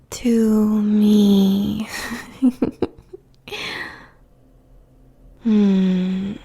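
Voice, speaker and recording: A woman's voice: mid-range voice, dark tone, slightly rough, full character voice. A woman playing a seductive, possessive demon succubus queen in a roleplay audio, whispering breathily and savouring her words with teasing sensuality.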